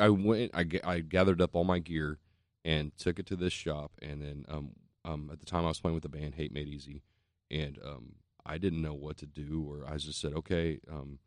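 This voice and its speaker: Deep-voiced man telling a rambling story. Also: a bit bright, no room sound, clean studio sound. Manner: rambling, swears, self-mocking, laughs easily. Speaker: musician. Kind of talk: casual chat, storytelling.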